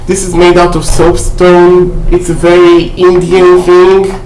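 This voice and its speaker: Woman, vlog haul chat, lowish voice, chatty with fillers, roomy echo. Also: monotone, takes it slowly, a little mellow, soft background music. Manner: chatty, many fillers and "okay?" check-ins. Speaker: vlogger showing a shopping haul. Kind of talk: casual haul video chat. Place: indoor room at home.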